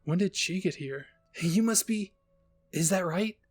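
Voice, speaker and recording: A man's voice: sits high, measured pace, faint music behind, voice pushed up. A man voicing an exuberant, affectionate woman officer in an anime fan-fiction story. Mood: gushing, excited.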